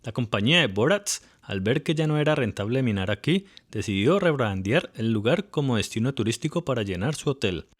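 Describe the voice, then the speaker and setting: A man's voice: mid-range voice, expressive, brisk, hardly any pauses. A man reading a polished, lightly witty scripted voice-over for a travel video. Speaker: narrator.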